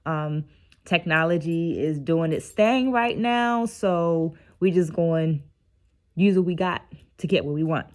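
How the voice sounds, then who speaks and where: A middle-aged woman with a slightly low voice talks casually to her viewers. She sounds tired and reflective, explaining things like a teacher and checking in as she goes. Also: takes it slowly. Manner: conversational teacherly, often checks in with "right?". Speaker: dance educator and video host. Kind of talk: casual reflective book-discussion vlog.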